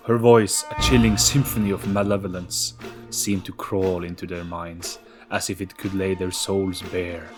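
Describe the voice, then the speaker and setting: A man reading a scripted, dramatic story in an ominous, measured storytelling manner. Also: sits low, flat delivery, over music. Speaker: audio story narrator.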